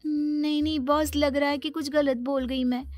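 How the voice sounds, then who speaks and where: A woman's voice: sits high, little pitch movement, normal speed, darkish tone, no echo, pitched above the performer's own voice, lightly acted. A woman voicing a naive, blunt, truthful young housewife in a cartoon story, with an innocent, apologetic manner.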